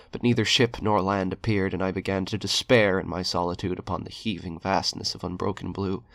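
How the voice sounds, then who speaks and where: A man reading a horror short story aloud in a slow, grave, brooding way. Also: low-pitched, vocal fry, fairly bright tone, dry recording. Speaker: narrator.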